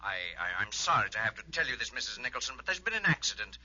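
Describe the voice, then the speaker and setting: A hesitant, formal doctor calling by telephone in a 1940s radio play. The voice is sharp and varied in pitch. It sounds small on a dull old recording. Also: male voice, big pitch swings. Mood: grave, hesitant. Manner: halting, formal. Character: apologetic hospital doctor breaking bad news. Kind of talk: 1940s radio drama acting. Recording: faint, dull phone voice, vintage radio.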